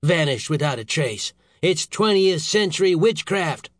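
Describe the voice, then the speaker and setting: Male narrator as enraged older government boss, raised pitch, howling, snapping, indignant, audiobook character, dry sound. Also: expressive, fast, no echo. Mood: enraged, incredulous.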